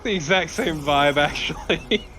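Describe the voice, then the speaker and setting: A man's voice: a little high, unhurried, pushed and bright, faint music behind. A man doing casual, joking co-commentary on a video game, wry and sweary. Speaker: let's play co-commentator.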